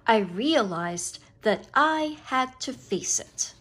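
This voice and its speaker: A woman reading a picture book aloud to children, with expressive, deliberate, dramatic phrasing. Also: fairly high pitch, slightly rough.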